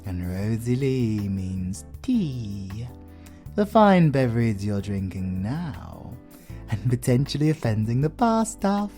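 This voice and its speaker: A man's voice: medium pitch, very animated, slow pace, dark tone, soft background music. A man with a British accent playing a suave, flirtatious vampire lord in an audio roleplay, courteous, theatrical and playfully teasing. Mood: amused, teasing.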